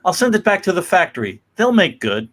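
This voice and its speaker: A man's voice: high voice, very animated, a little fast, fairly bright tone, heavily acted. A man playing a courteous, weary middle-aged shopkeeper father, mild and placating, in a dramatic comic-book reading.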